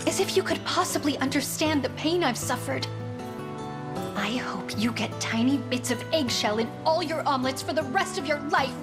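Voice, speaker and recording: A woman's voice: animated, moderate pace, music in the background. A woman voicing an earnest, proper young noblewoman who dreams of knighthood, speaking formally in a video game. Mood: bitter, indignant. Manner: formal, high-flown, earnest diction. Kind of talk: scripted video game voice acting.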